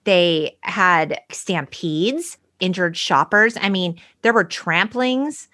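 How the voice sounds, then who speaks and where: A woman's voice: fairly low pitch, slow pace, sharp tone. A middle-aged American woman co-hosting a podcast, telling stories in a wry, emphatic way, mixing casual chat with quoted articles. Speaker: podcast co-host.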